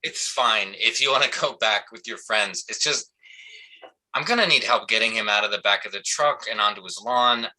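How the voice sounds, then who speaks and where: An actor playing a blunt, weary cop in a staged reading, talking in a halting, colloquial way. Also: male voice, fairly low pitch, sharp tone, low-quality recording. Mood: matter-of-fact. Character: blunt, gruff small-town male cop, weary and sardonic. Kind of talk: live staged play reading.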